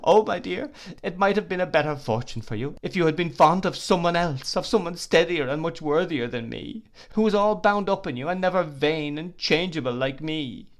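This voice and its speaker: A man's voice: mid-range voice, lively intonation, normal speed, lightly acted. A man reading aloud, voicing a tearful, remorseful young woman who sobs and pleads. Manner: sobbing, pleading.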